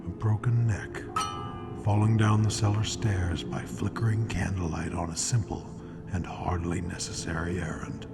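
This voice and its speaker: An ominous true-crime storyteller from old-time radio. His voice is low, dry and knowing, set over loud music. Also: fairly even intonation, moderate pace, vocal fry.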